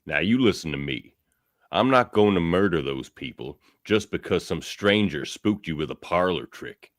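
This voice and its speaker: Gruff frontier sheriff played by a man, very low voice, firm and plain-spoken, radio drama, dry close sound. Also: animated, normal speed, no room sound. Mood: stern. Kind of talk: amateur radio theatre acting.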